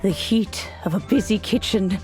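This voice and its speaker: An actress voicing an elderly, fond grandmotherly mentor in a game cutscene who talks gently and unhurriedly. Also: mid-range voice, faint music behind, lightly acted. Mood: serene fond. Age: older. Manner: gentle, unhurried, softly laughing. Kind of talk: video game cutscene voice acting.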